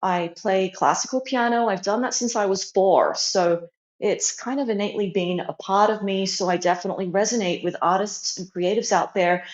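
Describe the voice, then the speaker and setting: A woman's voice: mid-range voice, moderate pace. A young adult woman from Australia, born in China, introducing herself as an interview guest in an earnest, reflective way. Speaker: guest, psychology doctoral student. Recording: roomy, dull lo-fi online audio.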